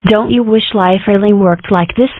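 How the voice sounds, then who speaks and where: A woman's voice: mid-range voice, moderate pace, dry recording, natural voice. A woman plays a self-important little girl from a comic strip dub. She declares things grandly and rambles off into whimsy. The recording is dry and narrow, like a phone line. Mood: whimsical, opinionated. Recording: muffled, phone-like narrow audio.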